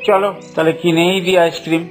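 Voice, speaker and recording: A woman's voice: lowish voice, fairly even intonation, fast, music in the background, lightly acted. A woman voicing a mild, indulgent father in a children's cartoon. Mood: mildly reluctant.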